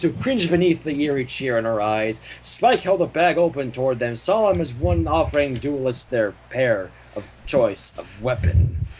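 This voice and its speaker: A man's voice: fairly high pitch, slight background noise, low-quality recording. A man narrating fan fiction informally, loose and sometimes stumbling over words.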